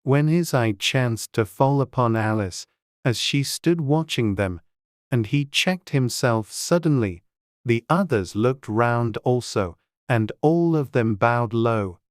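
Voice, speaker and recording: Audiobook narrator: very slow, measured storybook reading, clear resonant tone, scripted. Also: mid-range voice, expressive, no room sound, studio quality.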